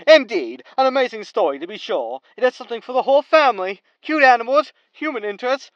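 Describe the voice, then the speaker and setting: A woman's voice: fairly high pitch, lively intonation, fast talker, dry recording, highs cut off, close to an everyday voice. A woman playing a slick, cynical tabloid media boss in a sci-fi comedy audio drama, with a sardonic, theatrical manner. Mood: dry, sardonic. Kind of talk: sitcom audio drama acting.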